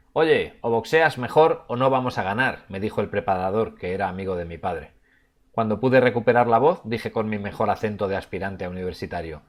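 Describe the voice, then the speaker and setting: A man reading a novel passage aloud in a measured way, going quieter on the quoted lines. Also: fairly low pitch. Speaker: book reviewer.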